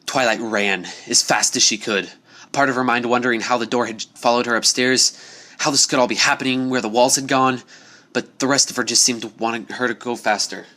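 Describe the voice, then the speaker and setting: A man's voice: medium pitch, fairly even intonation, brisk, bright, forceful tone. Man narrating a story dramatically.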